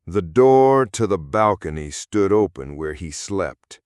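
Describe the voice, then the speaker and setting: A man narrating a fairy tale audiobook in an expressive, deliberate storytelling manner. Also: sits low, no room sound.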